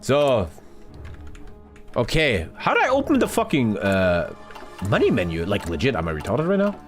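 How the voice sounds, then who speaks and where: Loud swearing man with wildly jumping pitch. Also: wildly swooping pitch, moderate pace. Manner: loud, swearing, jumpy rants to chat. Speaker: streamer. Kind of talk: live game stream commentary. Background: game music.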